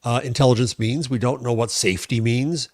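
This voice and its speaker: An American man co-hosting a tech podcast, talking conversationally in an opinionated, deliberate and emphatic way. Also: medium pitch, steady tone, darkish tone, dry recording, studio quality. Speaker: podcast co-host and commentator.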